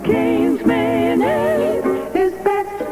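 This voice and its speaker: Very high-pitched singing jingle woman. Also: animated. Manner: sing-song, drawn-out delivery. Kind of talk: sung 1960s radio advertising jingle. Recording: echoey old radio jingle, loud music.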